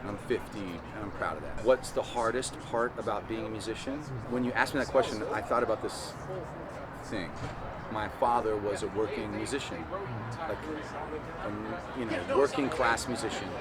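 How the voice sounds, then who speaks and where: A man of fifty speaks in a low, reflective voice, answering an interview outdoors. His earnest, loose way of talking leans on "like" and "you know." The chatter of a busy street crowd runs behind him. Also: normal speed. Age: 50s. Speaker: working drummer.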